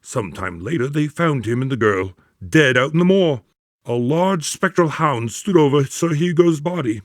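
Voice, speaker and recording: An actor playing a troubled, earnest country doctor in a radio drama, explaining things in a formal storytelling manner. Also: male voice, fairly high pitch, expressive, moderate pace, no echo, studio quality, lightly acted. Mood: grave storytelling. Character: earnest, troubled male country doctor recounting strange death. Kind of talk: modern radio drama acting.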